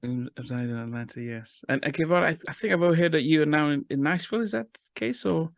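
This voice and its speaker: A man hosting a casual video-call interview, eager and halting, with lots of restarts and fillers. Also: on the high side, vocal fry, dry recording, studio quality. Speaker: interview host.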